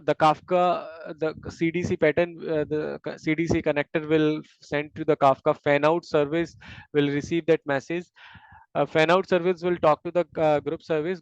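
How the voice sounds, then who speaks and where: A man teaching a technical topic step by step, speaking haltingly, often repeating words and checking with a tag question. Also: fairly high pitch, smooth, no room sound. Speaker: instructor explaining system design. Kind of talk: technical lecture in a discussion, explaining step by step. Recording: dull, lo-fi close audio.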